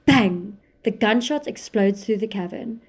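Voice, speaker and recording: A woman narrating an audio drama in a measured, descriptive way. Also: mid-range voice, animated, slightly rough.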